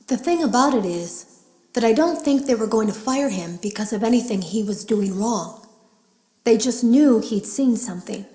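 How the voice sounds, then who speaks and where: An actress voicing a female beta tester who leaves hushed, wary audio logs warning of a hidden threat in a game. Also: on the high side, echoey room, close to an everyday voice, low-quality recording. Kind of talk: scripted game voice acting.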